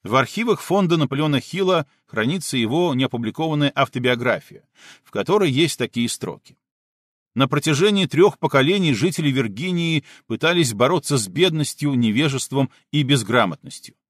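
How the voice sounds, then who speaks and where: Man narrating an audiobook with lively intonation. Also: medium pitch, brisk, no room sound, clean studio sound. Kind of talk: scripted nonfiction audiobook narration.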